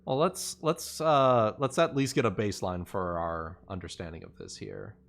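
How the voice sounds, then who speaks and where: A man's voice: fairly low pitch, normal speed, creaky, fairly bright tone. A man playing an online tabletop game, talking casually with the other players.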